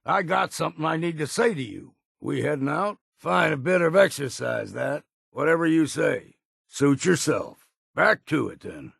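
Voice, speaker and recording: An actor playing a grizzled old hard-drinking islander in a video game, with a gravelly, husky voice and a wry, folksy drawl. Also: male voice, on the high side, animated, normal speed, a bit bright, no room sound, studio quality, exaggerated voice. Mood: stern, put out. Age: older. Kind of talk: video game character voice lines.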